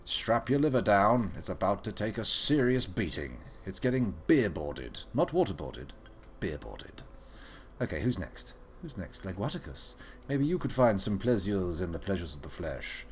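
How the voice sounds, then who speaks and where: A man's voice: deep voice, sing-song, normal speed, slight background noise. A young man streaming a video game live, playful and joking, with muttered asides.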